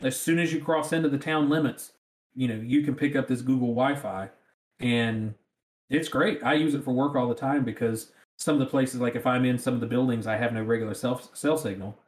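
A man's voice: medium pitch, fairly even intonation, brisk. A man with a rural Southern American accent, chatting casually. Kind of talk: casual chat. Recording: roomy, echoing sound.

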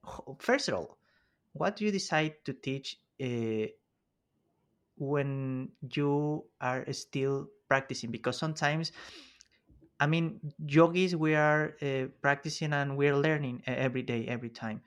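A man from Mexico with a Mexican accent, hosting a podcast interview and pausing to search for his words. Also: a little high, fairly bright tone, clean tone. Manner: searching for words, halting, many restarts. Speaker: podcast host.

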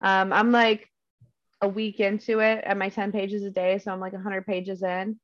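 A woman's voice: medium pitch, little pitch movement, normal speed, no echo. A young woman talks with earnest enthusiasm in a casual podcast chat, full of "um"s and "like"s. Her voice sounds dry and close, a little dull and lo-fi.

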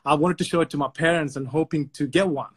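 Chatty man from Sri Lanka, fairly high voice. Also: quick pace, slightly rough. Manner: chatty, repeats words while telling a story. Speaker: podcast guest. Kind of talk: casual storytelling.

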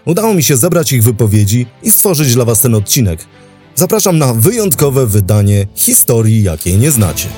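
A man hosting a history podcast, delivering scripted documentary narration in a measured, dramatic storytelling manner. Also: medium pitch, big pitch swings, warm tone, faint music behind.

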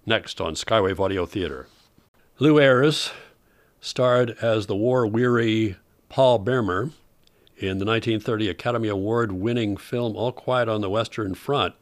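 Low-voiced man hosting vintage radio showcase. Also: measured pace. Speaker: host of an old-time radio showcase. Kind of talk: scripted informative narration.

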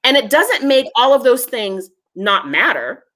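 A woman's voice: a little high, sing-song, unhurried, a bit bright. A woman guest from a genealogy foundation, speaking earnestly in an interview and repeating her key points for emphasis. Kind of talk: conversational interview.

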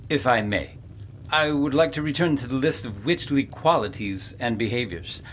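A man's voice: mid-range voice, expressive, normal speed, fairly bright tone. An actor playing a timid, curious Puritan goodman in a comic audio drama, earnest and hesitant. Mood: earnest, pleading. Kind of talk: comic audio theatre acting.

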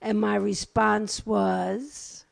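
A woman's voice: medium pitch, level tone, very slow, gravelly, dry recording. A woman with a Brooklyn accent co-hosting a community radio talk show, chatting and reading short poems of her own. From Brooklyn, New York. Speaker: co-host.

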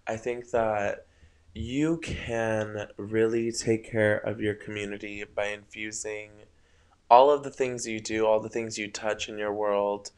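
A man's voice: on the low side, steady tone, measured pace, darkish tone, clear, resonant tone. A young man whose first language is Spanish hosts a casual solo podcast late at night, rambling about spiritual ideas and often tripping over his words. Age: young adult. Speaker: podcast host.

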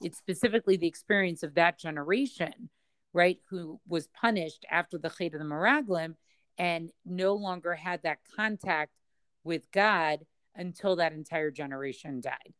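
A woman's voice: lowish voice, moderate pace, a bit bright, clean tone, dry recording. A woman teaching in a study session, explaining at length and thinking aloud with frequent ums and hedging words.

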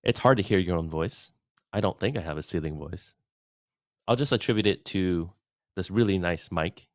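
Deep-voiced man in a dry home studio. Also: no room sound. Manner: relaxed, rambling, upbeat. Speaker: podcast host giving an update. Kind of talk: casual solo update. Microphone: studio dynamic mic at desk.

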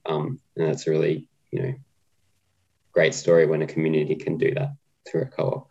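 A man talking casually as an interview guest, relaxed and hesitant with frequent ums. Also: a bass voice, normal speed, creaky, breathy edge, dull audio. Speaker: guest, co-op policy adviser.

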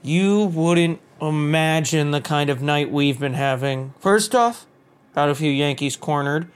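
An actor playing a jovial, drunken Confederate soldier who rambles in an over-friendly, chatty way. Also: male voice, fairly high pitch, measured pace, clean tone, lightly acted. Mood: tipsy, rambling.

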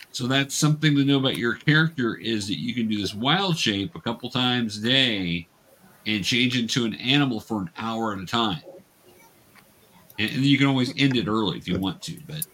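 A man's voice: mid-range voice, fairly bright tone. A man explaining and narrating a tabletop game as game master, casually and patiently.

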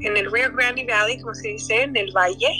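A woman in her forties from Texas, a guest talking about her life in a halting way, with many fillers and restarts. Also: fairly high pitch, bright, forceful tone, soft background music, poor audio. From McAllen, Texas, USA. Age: 40s. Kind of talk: casual interview talk.

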